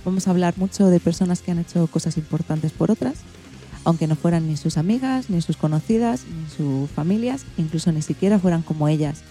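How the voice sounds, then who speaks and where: A woman's voice: lowish voice, animated, a little fast, dark tone, faint music behind. A woman with an accent from Spain, presenting an informal radio show and explaining things to a family audience. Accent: Spanish, from Spain.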